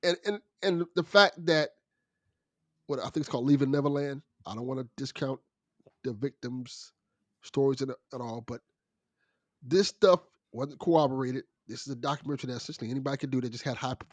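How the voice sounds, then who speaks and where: A man's voice: mid-range voice, brisk, no room sound. A man talking casually as a guest on a podcast, discussing and explaining things conversationally.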